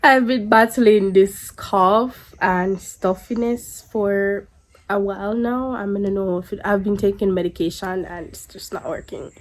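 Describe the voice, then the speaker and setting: A woman's voice: mid-range voice, measured pace. Congested young woman chatting to camera. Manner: chatty, stops and restarts, rambling. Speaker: vlogger. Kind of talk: casual vlog chat to camera.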